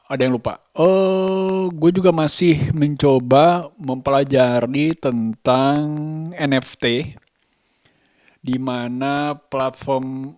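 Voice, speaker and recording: A man speaks in a medium-pitched voice at a slow pace. He talks off the cuff as in a personal vlog, halting and feeling for the next word. The sound is close and dry, with little room echo. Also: a little mellow. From Indonesia. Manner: hesitant, halting, often searching for words. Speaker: vlogger and musician. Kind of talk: casual unscripted daily vlog monologue.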